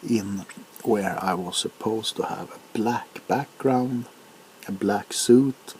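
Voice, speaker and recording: Low, slow-talking man in ASMR chat. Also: darkish tone, a little hiss or hum, rough recording. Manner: halting, unhurried, hesitant. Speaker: ASMR video creator.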